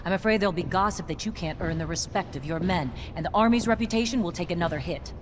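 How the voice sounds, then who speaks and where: A woman's voice: lowish voice, fast talker, music in the background, lightly acted. A woman playing a tough, pragmatic army captain in a video game, matter-of-fact and authoritative. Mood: concerned, stern.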